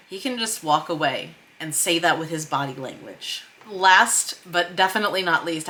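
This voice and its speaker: A woman with a slightly low, bright, forward voice talks through a lesson in an informal vlog style. She thinks aloud as she goes, leaning on key words with emphasis and a self-deprecating streak. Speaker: screenwriter and director teaching script revision. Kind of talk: informal explainer vlog about script revision.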